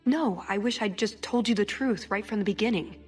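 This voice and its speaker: An actress playing an earnest, principled secret agent in a video game, speaking in a sincere, conversational way. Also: female voice, mid-range voice, brisk, slightly rough, soft background music, close to an everyday voice. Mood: regretful, fond. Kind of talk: video game dramatic acting.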